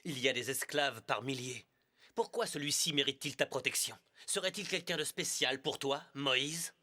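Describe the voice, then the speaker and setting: An actor playing a scheming, jealous rival prince, a smug and cold villain in a dubbed animated film. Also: male voice, mid-range voice, normal speed. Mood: suspicious. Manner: menacing, smug.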